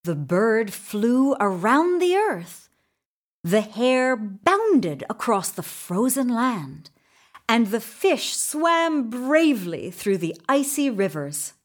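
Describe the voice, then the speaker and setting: Female children's storyteller: high-pitched, animated, expressive, lingering on dramatic pauses. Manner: expressive, deliberate, dramatic pauses for young listeners. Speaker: storyteller reading a children's book. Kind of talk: scripted children's story narration.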